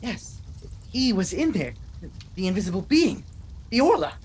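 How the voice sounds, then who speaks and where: A man's voice: high-pitched, very animated, unhurried, a little mellow, breathy edge, heavily acted. An actor playing a desperate, obsessed husband who narrates his horror tale in an intense, melodramatic 1940s radio style.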